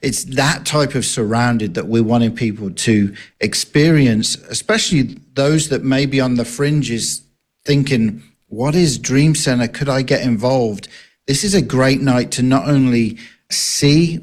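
A man's voice: mid-range voice, measured pace. A man with a noticeable non-local accent, speaking as a ministry director guest in an earnest, casual radio interview. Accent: accent not native to the area. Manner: earnest, with frequent fillers and restarts.

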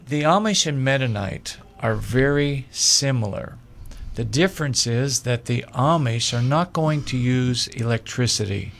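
Older male candidate, slow deliberate interview storyteller, mid-pitched voice. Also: animated, gravelly, a bit bright. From Pennsylvania, USA. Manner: slow, measured storytelling with filler pauses. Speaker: local candidate. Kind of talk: interview answers.

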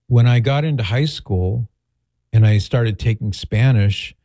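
A man's voice: mid-range voice, a little slow, dark tone, dry recording. A middle-aged American man, a talk show host speaking casually and reflectively in a discursive, storytelling way. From greater Los Angeles, United States. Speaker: talk show host answering listener questions. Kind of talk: casual conversational talk, reading out messages.

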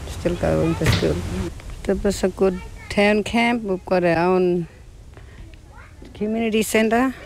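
A woman's voice: on the low side, creaky, a little mellow, a little hiss or hum, rough recording. A middle-aged woman, a town camp resident chatting plainly and proudly in an interview. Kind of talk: interview testimony.

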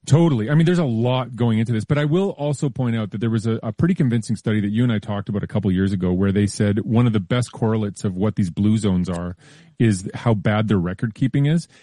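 A man explaining science news in a relaxed, careful way during a casual chat, with frequent hedges and restarts. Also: lowish voice, fast talker, dry recording, clean studio sound. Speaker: science columnist.

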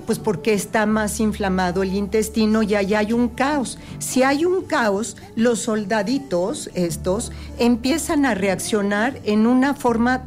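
A woman's voice: a little high, a little mellow. A woman on a talk show, patiently explaining health topics with everyday examples. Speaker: health guest expert.

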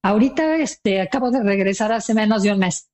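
An older woman with a Mexican accent, chatting as an interview guest in a lively, proud way and laughing easily. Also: medium pitch, brisk. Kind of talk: casual conversation.

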